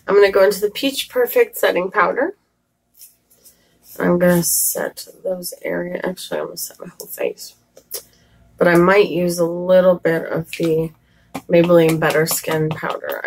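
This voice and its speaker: A woman with a scratchy, slightly hoarse voice, chatting casually through a makeup tutorial with rambling asides and fillers. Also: on the low side, sing-song, normal speed, long pauses. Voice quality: scratchy, raspy voice. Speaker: makeup vlogger.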